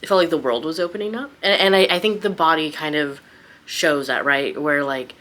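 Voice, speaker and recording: Young woman, book vlogger: low voice, informal and rambling, off-the-cuff chat. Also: a little fast, a bit bright. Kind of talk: casual unscripted chat.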